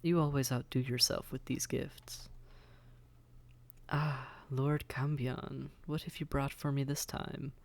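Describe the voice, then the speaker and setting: Haughty demon prince for audio roleplay, male actor: slow, refined, gravelly, condescending, seductive, often near a whisper. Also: mid-range voice, level tone, darkish tone. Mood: smug, amused. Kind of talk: scripted roleplay audio drama, addressed to listener.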